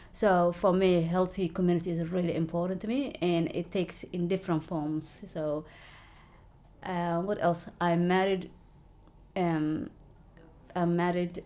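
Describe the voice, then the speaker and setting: Woman, 40s, lowish pitch; earnest interviewee, halting delivery. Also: warm tone. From Somalia. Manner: earnest, halting, many fillers and restarts. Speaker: local election candidate. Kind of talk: interview, personal story.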